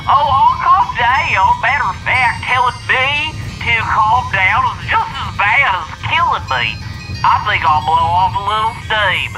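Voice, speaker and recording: A man's voice: high voice, animated, unhurried, sharp tone, faint music behind, exaggerated voice, rough recording. A man voicing a small, demented, vengeful animatronic beaver in an audio drama, taunting and theatrical. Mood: manic, menacing. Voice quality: eerie laugh.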